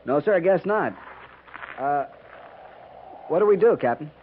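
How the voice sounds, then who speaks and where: An earnest American cavalry soldier with a slightly high voice, dutiful and deferential. It sounds like a 1950s radio western, dull and noisy, with a busy background. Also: male voice, animated, a little slow. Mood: worried, respectful. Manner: deferential, plain-spoken. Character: earnest, dutiful cavalry trooper under fire.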